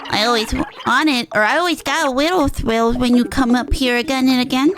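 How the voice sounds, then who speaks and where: A woman voicing a childish, sulky but good-hearted small AI boss from a video game, with a halting, earnest manner. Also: high voice, noisy background, natural voice. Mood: wistful. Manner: halting, earnest, self-correcting. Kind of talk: reading video game dialogue in character.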